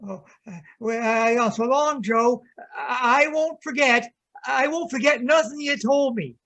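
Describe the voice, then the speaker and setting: Radio drama: simple, shell-shocked veteran, very high voice, hesitant, childlike, eager to obey. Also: male voice, pushed and bright, exaggerated voice. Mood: hesitant, obedient. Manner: hesitant, halting, repeats words. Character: slow-witted shell-shocked war veteran, childlike devoted younger brother. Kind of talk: old-time radio drama acting.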